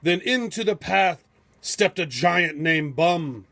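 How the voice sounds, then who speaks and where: Slow, high-voiced man reading a dramatic storybook. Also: animated, vocal fry, fairly bright tone. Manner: theatrical, measured, epic storytelling. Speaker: storybook reader. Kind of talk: dramatic rhyming storybook narration.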